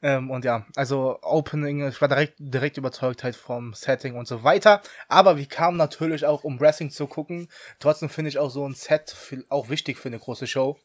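A man's voice: medium pitch, brisk, hardly any pauses, dry recording. Chatty young man, dry close sound. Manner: chatty, mixes English slang into his talk, laughs often. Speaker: podcast co-host. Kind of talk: casual chat.

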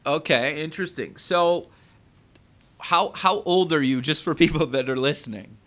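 A man's voice: fairly high pitch, moderate pace, a bit bright. A man hosting a podcast interview, chatting casually and friendly, with many fillers and restarts. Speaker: podcast host.

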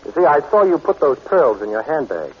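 Wry, husky adventurer. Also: male voice, fairly high pitch, sing-song, brisk. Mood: wry, easygoing. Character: suave, wry adventurer hero, good-hearted former thief. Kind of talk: 1940s radio acting. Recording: dull 1940s radio recording.

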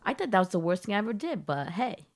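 A woman's voice: medium pitch, sing-song, fast talker. A young woman co-hosting a podcast, chatting casually in a rambling, self-deprecating way. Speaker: podcast co-host. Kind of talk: casual chat.

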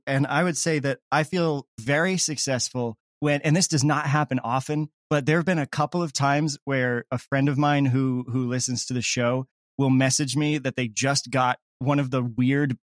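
A man's voice: mid-range voice, dry recording, clean studio sound. A man talking casually in an interview as the creator of a comedy podcast.

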